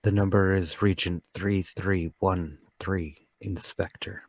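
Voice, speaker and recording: A man's voice: sits low, steady tone, slow, heavily acted. A man playing a meek, bewildered murder suspect, speaking hesitantly and politely in a mystery radio play table read. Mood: quietly insistent.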